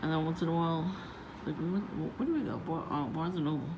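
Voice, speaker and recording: Low-voiced rambling woman, gravelly. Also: fast. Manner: chatty, meandering, frequent fillers and restarts. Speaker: vlogger. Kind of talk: casual rambling vlog. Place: outdoors, windy. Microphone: phone. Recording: noisy phone recording.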